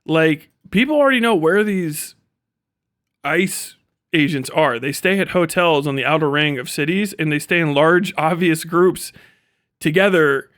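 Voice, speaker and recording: A man's voice: fairly high pitch, measured pace. A man talking casually and off the cuff as a livestream host, blunt, slangy and profane, slipping into acted-out bits of dialogue. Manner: animated, acts out imagined dialogue. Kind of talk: casual unscripted livestream monologue.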